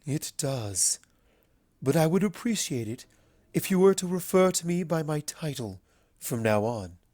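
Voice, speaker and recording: A narrator puts on a regal female alicorn from a storybook, cold and aloof. The voice is deep and resonant, the wording stately and formal, in a dry, close recording. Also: sing-song, unhurried, mellow, dry recording.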